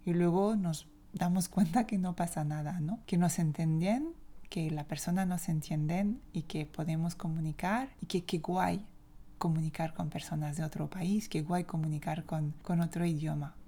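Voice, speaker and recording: French-accented woman, mellow low voice. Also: steady tone. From France. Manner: reflective, opinionated, measured. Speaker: language teacher. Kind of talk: solo podcast monologue.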